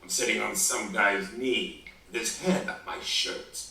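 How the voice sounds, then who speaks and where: A man's voice: on the low side, fairly even intonation, a little slow, creaky, pushed and bright, faint music behind, exaggerated voice. An actor voicing a cocky male ventriloquist dummy who is a demon hunter, cracking brash, flirty jokes. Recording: roomy, distant TV clip.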